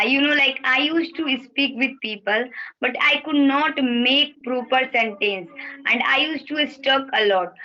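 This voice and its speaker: Bright high Indian woman advising. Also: lo-fi sound. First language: Bhojpuri. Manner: advising, patient, repeats key points. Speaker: English practice partner. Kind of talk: encouraging casual conversation. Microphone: video call.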